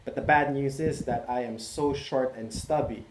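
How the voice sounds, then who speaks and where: A man's voice: medium pitch, fairly even intonation, normal speed, lightly acted. A man voicing a tired, worn-out stubby blue crayon reading its letter. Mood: weary. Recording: echoey room, faint noise.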